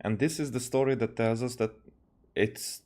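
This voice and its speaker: A man's voice: mid-range voice, steady tone, normal speed, a little mellow. A man giving casual game commentary as a streamer, hesitant and searching for his words as he explains.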